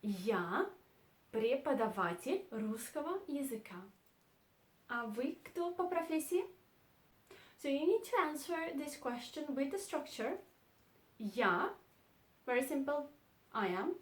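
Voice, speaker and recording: A woman teaching a beginner language lesson, repeating short phrases slowly and clearly. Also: sits high, very animated, echoey room. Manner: slow, clear model phrases, repeated twice. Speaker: Russian teacher.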